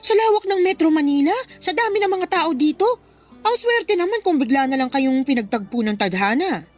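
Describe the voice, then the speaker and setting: An actress as a lively, high-voiced big-sister friend. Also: female voice, fairly quick. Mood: curious, doubtful. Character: Ate Linda, chatty, supportive older female friend. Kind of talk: Filipino radio drama acting.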